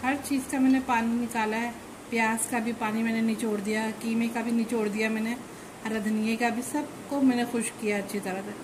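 A woman with a fairly high voice explains a home recipe step by step in a calm, instructive way, repeating key points as she works. Her voice is recorded in an echoey kitchen. Clear background noise runs underneath. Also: a little fast. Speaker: cooking channel host. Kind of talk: home cooking demonstration.

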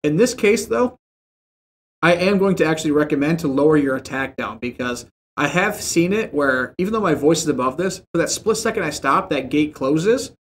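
A young man in a home streaming room who explains audio settings in a relaxed, rambling way. He uses lots of fillers, and his desk mic sits about a foot and a half from him. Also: a little high, normal speed, slight background noise. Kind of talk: casual screen-share tutorial. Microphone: desk mic about a foot and a half away, headset on.

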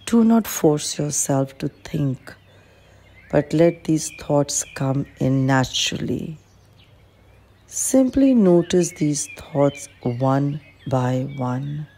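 Slow, low-voiced woman guiding a meditation. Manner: calm, gentle, measured. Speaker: clinical psychologist guiding a meditation. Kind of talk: guided meditation, instructional narration. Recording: faint background noise with birdsong.

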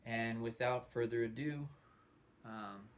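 A man in his thirties giving an informal, halting introduction as host of a livestreamed show, pausing often mid-sentence. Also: medium pitch. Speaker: host introducing a puppetry showcase. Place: indoor room hung with fabric backdrops. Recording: noisy room recording.

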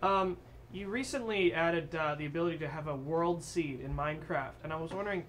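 Joking man with a high voice. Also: a little fast, a bit bright, slight background noise. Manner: joking, asks playful questions. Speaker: charity stream co-host. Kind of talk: casual chat.

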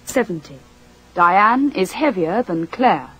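A woman reading a scripted language course drill, slowly and with careful enunciation. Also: medium pitch, animated, a bit bright, breathy edge, muffled recording. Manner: slow, deliberate, carefully enunciated.

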